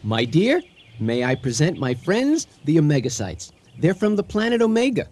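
Earnest eccentric bridegroom, animated pitch. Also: male voice, moderate pace, a little hiss or hum. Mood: courtly, proud. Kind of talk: comic radio drama acting.

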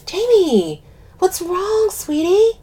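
A woman's voice: very high-pitched, big pitch swings, takes it slowly, mellow, voice pushed up, heavily acted. A woman voicing a brash, foul-mouthed, dramatic friend in an audiobook, blunt and exclamatory, stammering when flustered. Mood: alarmed concern. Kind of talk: audiobook character dialogue.

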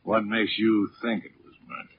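Surly ex-boxer heavy, male actor, deep-ish and blunt; 1940s radio drama, faintly noisy. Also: flat delivery, moderate pace, lightly acted. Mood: menacing. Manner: curt, blunt. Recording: muffled, noisy old radio recording.

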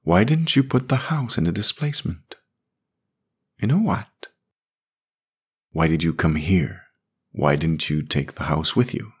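A calm, firm grown woman speaks in a patient, gently insistent way, read in a man's own voice for an audiobook. The voice is very deep and husky. It moves slowly and evenly, with a lively rise and fall. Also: heavily acted. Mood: probing. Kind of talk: audiobook character voice.

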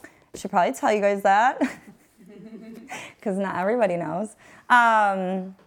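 A woman in her twenties, a podcast guest chatting about her life, outgoing and quick to laugh, with a naturally loud voice she keeps calm. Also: medium pitch. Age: 20s. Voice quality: loud voice held back to a calm tone. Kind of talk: casual chat.

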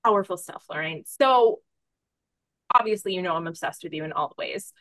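A woman hosting a podcast in casual conversation, effusive and informal, thinking out loud as she talks. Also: on the low side, expressive, a little fast, no room sound. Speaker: podcast host interviewing a guest.

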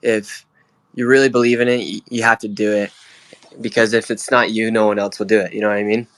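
A man's voice: fairly low pitch, flat delivery, fairly quick, rough recording. A young male independent reporter, a guest in a live interview, speaking in a plain, casual, earnest way.

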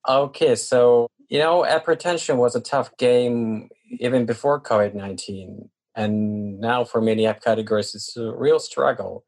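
A man hosting an interview podcast in a measured, deliberate way, sometimes repeating and rephrasing himself. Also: mid-range voice, steady tone, a little mellow. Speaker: podcast host.

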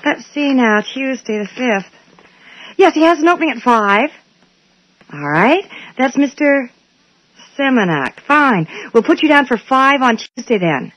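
A woman playing a brisk, officious but polite dental receptionist in a 1980s radio drama. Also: high voice, expressive, a bit bright, no echo, exaggerated voice. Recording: dull old radio recording.

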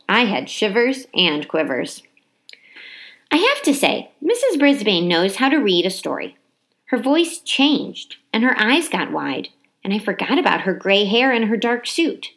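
Animated woman reading a children's storybook. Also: medium pitch, measured pace. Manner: animated, dramatic emphasis on key words. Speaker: teacher reading a children's chapter book aloud. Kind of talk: expressive storybook narration.

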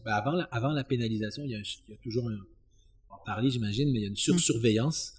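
Slightly husky man, halting explainer. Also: lowish voice. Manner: halting, searching, repeats words. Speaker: expert guest, sociology professor. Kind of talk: explanatory interview answers.

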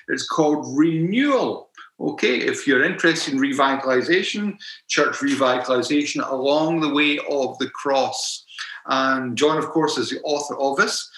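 Scottish man, podcast host, bright tone, teasing chat, echoey room. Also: mid-range voice. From Scotland. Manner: friendly, teasing, chatty. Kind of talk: casual interview chat.

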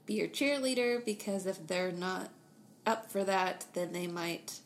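A woman's voice: fairly low pitch, a little slow, slight background noise. A woman co-hosting a casual faith-based podcast, speaking in an encouraging way with playful banter. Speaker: podcast co-host.

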